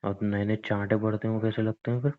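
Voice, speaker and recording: A man's voice: fairly low pitch, flat delivery, quick pace, lightly acted. Man playing whiny young boyfriend on tinny phone line. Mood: sulky, complaining. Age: young adult. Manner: whiny, coaxing, pleading. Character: pleading, jobless young boyfriend, clingy and sulky. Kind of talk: casual romantic phone-call skit in Hinglish. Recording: thin, narrow phone-line sound.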